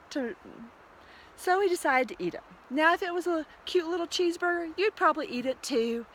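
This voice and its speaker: Animated woman storyteller with a higher voice. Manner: animated, conversational. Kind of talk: oral fairy tale retelling.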